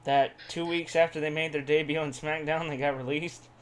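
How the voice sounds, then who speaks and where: A man's voice: on the high side, fairly even intonation, moderate pace. A man chatting casually as a podcast co-host. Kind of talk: casual wrestling podcast chat. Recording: home microphone with faint background noise.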